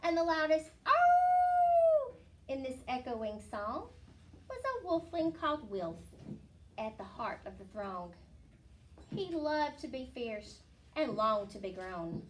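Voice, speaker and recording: A woman reading a rhyming children's picture book aloud in an expressive storytelling style. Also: fairly high pitch, unhurried. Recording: slightly distant mic, faint background noise.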